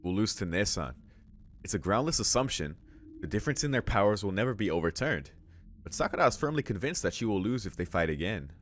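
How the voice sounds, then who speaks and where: A man with a fairly low voice hosts a let's play, reading game narration aloud. He breaks off into casual, exclamatory asides as he reacts. Some noise sits behind his voice. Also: very animated, fast. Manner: informal, exclamatory asides between read passages. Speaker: visual novel let's play host.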